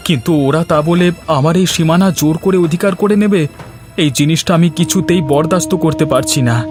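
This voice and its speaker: Earnest, higher-voiced humble village man by an actor. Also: brisk, over music, natural voice. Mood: indignant. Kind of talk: Bengali cartoon voice acting.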